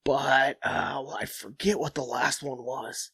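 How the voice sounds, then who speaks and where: A man's voice: mid-range voice, fairly even intonation, measured pace, pushed and bright, husky, dry recording, clean studio sound. A young adult man, stuffed-up and nasal, hosting a podcast as a blunt, profane solo rant that rambles and restarts. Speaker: podcast host.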